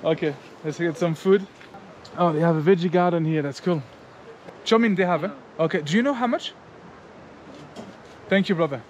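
Man, thirties, travel vlogger, fairly high voice, friendly chatty delivery, action camera up close, faint background hiss. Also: moderate pace, long pauses, darkish tone. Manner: friendly, chatty, many fillers and "guys" to camera. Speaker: motovlogger host. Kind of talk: casual travel vlog chat. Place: outdoors in a mountain village and a small roadside restaurant.

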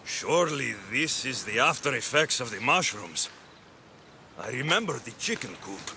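An actor playing a dry, sarcastic young mercenary hero of ancient Greece in a video game, with music behind him. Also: male voice, mid-range voice, very animated, normal speed, bright, forceful tone, a little hiss or hum, exaggerated voice. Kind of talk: video game cutscene acting.

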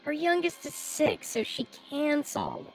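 A woman's voice: sits high, wildly swooping pitch, unhurried, music in the background, exaggerated voice, rough recording. A woman playing a nosy, teasing younger sister in a motion comic, casual and questioning. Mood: curious, casual.

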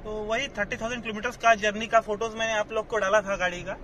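A man's voice: high voice, flat delivery, quick pace, sharp tone. A young man, a vlogger, chatting casually about his trips while driving, slipping English phrases in with hesitant fillers. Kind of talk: casual travel vlog chat. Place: inside a moving car, then outdoors at a fort. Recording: noisy road and car background.

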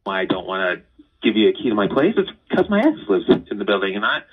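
Guy on a muffled phone, kinda husky, stammery and defensive, voice goes up and down. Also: fairly low pitch, very animated, fast, far from the mic. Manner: hesitant, stammering. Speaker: surprised call-in guest on a radio prank call. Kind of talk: defensive casual phone chat.

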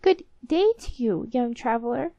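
A woman's voice: on the high side, lively intonation, a little slow, warm tone, dry recording, voice pushed up. A woman voicing an old ragged beggar woman in a storybook tale, emphatic in the way she pleads and instructs. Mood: pleading. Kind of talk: storybook character voice.

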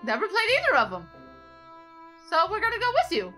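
A woman playing a composed female anime angel mentor, gently teasing and chatty in improvised parody game talk. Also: very high voice, huge pitch swings, brisk, faint music behind, exaggerated voice. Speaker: guest co-player on a gaming channel.

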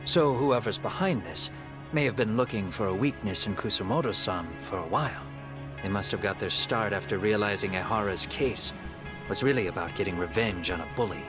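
An actor playing a grave, calculating middle-aged man in a video game drama, speaking in a measured, deliberate way. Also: lowish voice, music in the background, close to an everyday voice. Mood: analytical. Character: composed middle-aged male fugitive avenger, calculating and grave.

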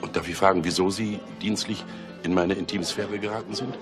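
Wry police chief with a lowish voice male actor. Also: fairly even intonation, a little fast, over music, lightly acted. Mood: guarded, dry. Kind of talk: German radio drama acting.

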